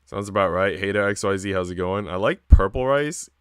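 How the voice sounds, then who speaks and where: A man's voice: low-pitched, brisk, clean tone, no room sound, clean studio sound. A man livestreaming in casual chat, talking in a slangy, profane, bro-ish way. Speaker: streamer. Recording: music behind the voice.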